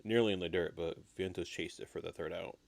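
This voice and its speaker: A man with a slightly raspy voice, getting over an illness, giving low-key, casual live baseball commentary as a stream host. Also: fairly even intonation, fairly quick, no echo.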